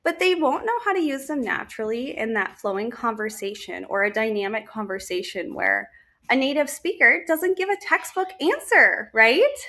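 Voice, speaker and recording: A woman's voice: a little high, animated, normal speed, sharp tone. A woman English teacher and native speaker explaining to parents, emphatic and often checking in with "right?". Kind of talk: talking-head explainer to parents.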